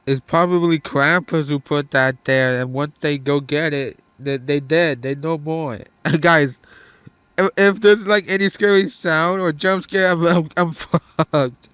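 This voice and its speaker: A man's voice: on the high side, unhurried, fairly bright tone, smooth, no echo, dull audio. A man doing casual let's play commentary, thinking aloud hesitantly and swearing now and then. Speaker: gaming streamer.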